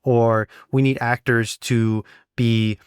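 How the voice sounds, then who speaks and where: A man with a mid-pitched voice, recorded dry with no room sound. He talks like a podcast host, casually explaining things and offering practical advice in an informal way. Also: little pitch movement, slow pace, clear, resonant tone, dry recording. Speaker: podcast host and audio drama sound designer.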